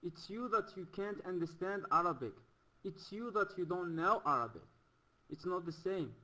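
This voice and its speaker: A high-voiced man calls into a debate. His tone is bright and forceful. He challenges the host and stumbles over repeated words. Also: animated, moderate pace, low-quality recording. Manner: challenging, stammering restarts. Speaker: caller. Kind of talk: argumentative debate reply.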